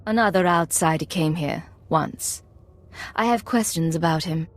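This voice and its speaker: A woman playing a guarded, composed intelligence agent in a video game, with a husky voice and a cool, controlled manner. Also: fairly low pitch, a little slow, natural voice. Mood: earnest. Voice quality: slightly husky. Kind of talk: video game cutscene acting.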